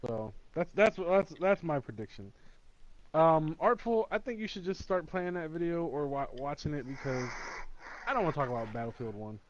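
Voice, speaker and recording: A man's voice: fairly high pitch, expressive, normal speed, no echo. Male co-host, emphatic and profane, heard through a muffled lo-fi mic. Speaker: podcast co-host. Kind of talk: casual gaming podcast chat. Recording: dull lo-fi mic.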